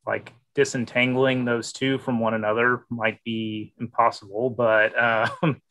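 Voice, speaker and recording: Thirties male panelist, flat delivery, bright edge, webcam call. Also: medium pitch, a little slow, rough recording. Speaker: regulatory manager panelist. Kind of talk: panel discussion.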